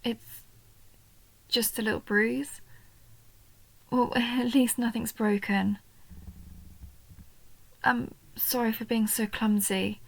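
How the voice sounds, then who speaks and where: A woman voicing a shy, sweet, nerdy goblin girl in a soft roleplay audio, hesitant and gentle, often dropping into breathy half-whispers. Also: on the high side, little pitch movement, slow, long pauses, full character voice, poor audio. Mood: apologetic, shy. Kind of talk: roleplay audio, soft intimate story acting.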